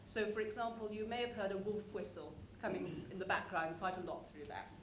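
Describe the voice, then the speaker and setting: A woman's voice: mid-range voice, fairly quick. A woman giving an informal, explanatory conference presentation about a show, speaking conversationally. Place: conference room, live presentation. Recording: echoey room with clear background noise.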